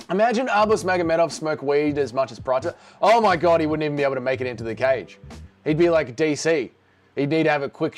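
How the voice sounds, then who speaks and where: A middle-aged man streaming live, reading and answering chat in a brash, sarcastic way and swearing freely. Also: on the high side. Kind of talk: casual livestream chat.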